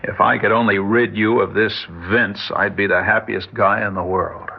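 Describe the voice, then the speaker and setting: An actor playing a loyal, protective young fiancé, earnest and agreeable, in a 1950s radio drama. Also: male voice, fairly low pitch, level tone, normal speed, creaky. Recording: hissy lo-fi old radio recording.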